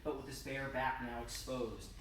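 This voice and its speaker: Forceful man reading horror fiction aloud. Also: medium pitch, flat delivery, moderate pace. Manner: theatrical, emphatic. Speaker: author reading his own story. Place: indoor convention event room. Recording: roomy, noisy live room, distant mic.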